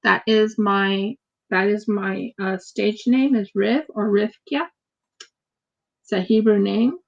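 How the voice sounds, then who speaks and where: A woman's voice: mid-range voice, fairly even intonation, slow pace, creaky, lo-fi sound. An American woman chatting casually and rambling as she crafts on a livestream, often repeating her words. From United States. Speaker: craft streamer.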